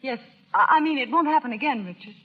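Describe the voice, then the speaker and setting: A woman's voice: high voice, lively intonation, quick pace, breathy edge. An actress playing a devoted, nervous society wife in 1930s radio drama style, eager and faltering as she pleads. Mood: nervous, apologetic. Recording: dull, muffled old radio broadcast.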